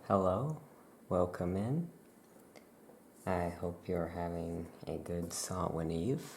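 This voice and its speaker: A soft-spoken ASMR host playing a calm witch shopkeeper, talking gently and without hurry while showing items. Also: deep voice, a little hiss or hum. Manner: gentle, unhurried, hushed delivery. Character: calm Salem witch shopkeeper welcoming customers. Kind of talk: soft-spoken ASMR roleplay, product showcase.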